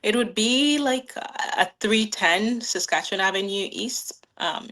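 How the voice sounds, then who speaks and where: A woman in her thirties, originally from Nigeria, answering interview questions in a relaxed, friendly way with frequent "um"s and little laughs. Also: mid-range voice, a little slow. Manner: friendly, laughs often, many "um"s and "like"s. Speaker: guest, new business owner.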